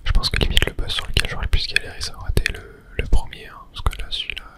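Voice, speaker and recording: A fully whispered voice in an ASMR gaming style, speaking close to the microphone. The delivery is hushed and unhurried, commenting on the game as it is played. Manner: hushed whispering, unhurried narration of own play. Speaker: gaming ASMR creator and host.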